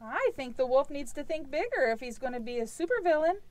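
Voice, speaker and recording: High, very animated nosy cat puppet for a children's show, played by a woman, cheeky and inquisitive. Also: fairly quick, no room sound. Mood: skeptical.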